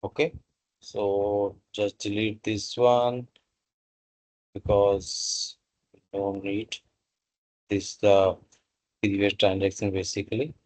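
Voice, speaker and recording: A man with a slightly low voice walks through a software tutorial. He speaks very slowly, in short instructional phrases with halting pauses between the steps. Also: little pitch movement, rough recording. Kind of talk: step-by-step screen tutorial narration.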